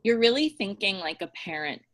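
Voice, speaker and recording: A woman's voice: mid-range voice, sing-song, normal speed, crowd noise. A woman asking questions in a casual podcast conversation.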